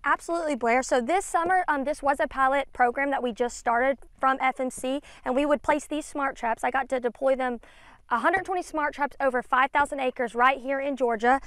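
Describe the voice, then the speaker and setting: A woman's voice: sits high, a little fast, fairly bright tone, no room sound. A woman in her twenties, a field specialist explaining a product as an interview guest outdoors. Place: outdoors in an open peanut field. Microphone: clip-on lavalier.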